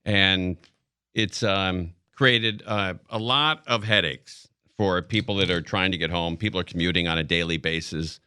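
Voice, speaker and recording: A male American talk radio host with a low, bright voice. He rambles through casual news commentary, pausing and thinking aloud. The sound is dry and close on a studio mic. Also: smooth, dry recording. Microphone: close broadcast studio mic.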